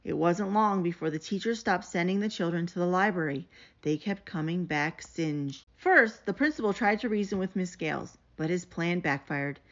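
A woman giving an animated, expressive read-aloud of a children's picture book. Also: on the low side, normal speed. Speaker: storybook reader. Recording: dull, lo-fi sound.

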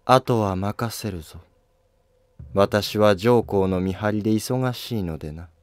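A man's voice: lowish voice, measured pace, smooth, dry recording, lightly acted. Guy doing an older empress dowager, bossy and suspicious, old-fashioned curt speech, takes her time. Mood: wary, imperious. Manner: curt, commanding, archaic speech. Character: imperious, wary empress dowager, an older woman.

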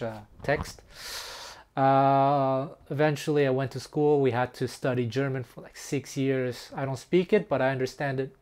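A middle-aged man who grew up speaking Taiwanese, a music teacher talking casually to camera in an earnest, reflective, slightly halting way. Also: medium pitch, darkish tone. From French-speaking Canada. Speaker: guitar teacher. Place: small room indoors.

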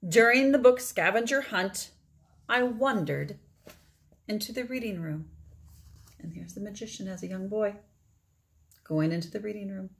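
A middle-aged woman reading a children's picture book aloud expressively, with deliberate phrasing and emphatic pauses. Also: medium pitch, breathy edge. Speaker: read-aloud storyteller.

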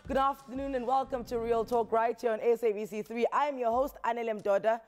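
A woman's voice: a little high, fairly even intonation, soft background music. A woman in her thirties hosting a television talk show, chatty and playful, speaking on a lapel mic in a studio. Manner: playful, chatty, quick laughs. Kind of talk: casual studio interview. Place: tv studio set.

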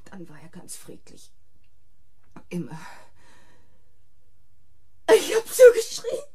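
An actress playing a distraught, panicked wife in a radio thriller, halting and repeating herself. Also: female voice, lowish voice, huge pitch swings, mellow, breathy edge, heavily acted. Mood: hysterical, weeping. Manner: halting, repetitive, agitated. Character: distraught, panicked wife who killed her tormenting husband. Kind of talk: German radio thriller acting.

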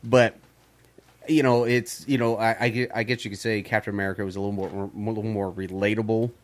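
A man's voice: fairly low pitch, flat delivery, quick pace, no echo. A man co-hosting a casual podcast chat, rambling with lots of fillers and swearing. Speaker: podcast co-host.

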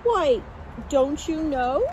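A woman's voice: high voice, animated, extremely slow, background noise, exaggerated voice. A woman doing a clever cheeky mouse. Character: clever little brown mouse.

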